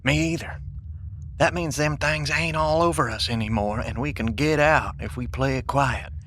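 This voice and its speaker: A folksy, cautious survivor played by a male actor in an audio drama. His voice is bright and sharp, his talk colloquial and low-key, with clear ambience around him. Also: normal speed, slight background noise, natural voice. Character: folksy, cautious, rough-spoken male survivor scavenging supplies.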